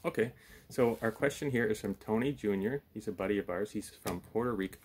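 A man's voice: fairly low pitch, brisk. A man in his thirties chatting casually to camera at home as host of a puppet show. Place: small room at home, indoors. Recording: faint background noise, home camera mic.

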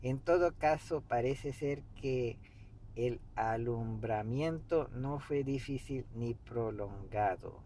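A man in his fifties reading a book aloud meditatively in a halting way, pausing mid-sentence, recorded inside a car. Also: mid-range voice, poor audio. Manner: halting, pauses mid-sentence, clears throat. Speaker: amateur reader of a book. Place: inside a parked car by a street. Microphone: camera an arm's length or so away. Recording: steady background noise inside a car.

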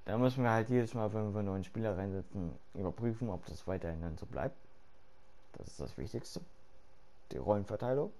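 A hoarse man talking through his game setup in casual commentary, swearing freely and thinking aloud with fillers, late at night. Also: fairly low pitch, a little fast, slight background noise. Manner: loud and excited at the start, quieter later. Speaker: gaming YouTuber. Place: home room at night.

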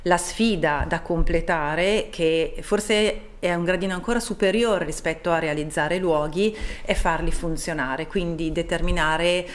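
A middle-aged woman with a low voice speaks into a table mic in a TV studio. She explains things earnestly and at a measured pace, pausing often to gather her thoughts. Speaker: town mayor, guest. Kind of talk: studio interview.

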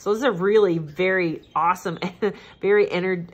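A woman with a low voice gives a tarot reading at her table at home. She sounds congested and a little nasal, and she speaks in a gentle, reassuring way that circles back over the same points. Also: moderate pace, highs cut off. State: congested, stuffed-up nose. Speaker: tarot reader. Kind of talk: casual tarot reading monologue.

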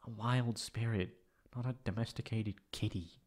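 A man playing a prickly young catboy in an ASMR roleplay, haughty but secretly soft-hearted and stammering when flustered. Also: lowish voice, fairly even intonation, normal speed, natural voice. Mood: hesitant, wistful.

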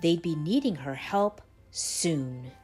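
A woman reading a children's story aloud in a deliberate, expressive way with dramatic pauses. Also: low voice, husky. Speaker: storybook reader, channel host. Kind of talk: children's read-aloud, scripted narration. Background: background music.

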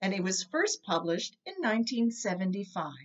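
A middle-aged woman reading a children's story aloud in a measured, expressive way through a computer microphone indoors. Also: medium pitch, highs cut off. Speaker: storybook reader. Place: indoor room with bookshelves.

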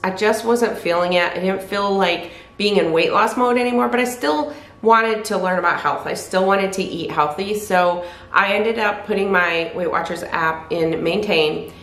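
A woman's voice: mid-range voice, moderate pace, a bit bright. A woman in her fifties chatting to camera in her living room, candid and rambling, often restarting her sentences. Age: 50s. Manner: rambling, candid, self-correcting. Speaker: weight loss vlogger. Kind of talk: casual chat to camera. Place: living room by a fireplace, indoors. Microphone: camera at desk distance. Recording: echoey room.

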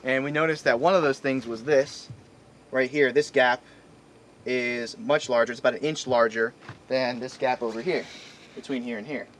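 A man's voice: mid-range voice, steady tone, normal speed. An American man in his thirties explains things casually to camera as a vlog host, outdoors on a boat. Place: outdoors on a sailboat in a boatyard. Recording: outdoor recording with ambient noise.

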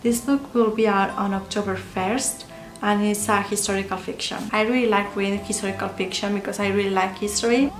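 A woman's voice: mid-range voice, fairly even intonation, a little fast. A young woman from Spain with a Spanish accent, chatting casually about books on camera in a small room at home. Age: young adult. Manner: chatty, gestures a lot with her hands. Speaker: book vlogger. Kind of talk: casual chat. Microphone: camera or laptop mic facing her. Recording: roomy echo with music underneath.

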